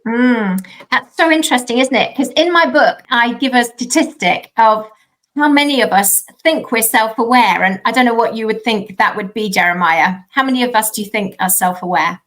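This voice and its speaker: Higher-pitched woman hosting a podcast. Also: rough recording. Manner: warm, encouraging, drawn-out emphasis. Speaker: podcast host.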